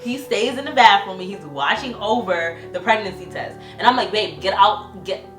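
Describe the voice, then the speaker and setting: A young woman chatting casually as co-host, telling an animated story and acting out what people said. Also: mid-range voice, moderate pace. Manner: animated storyteller, acts out quotes. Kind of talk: casual couple chat. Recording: echoey room with music behind.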